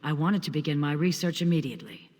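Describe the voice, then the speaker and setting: An actor playing an earnest, courteous scholarly adventurer in a fantasy video game, speaking in measured, formal phrases. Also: male voice, on the high side, steady tone, a little mellow, big-room sound, lightly acted. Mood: solemn. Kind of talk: video game character dialogue.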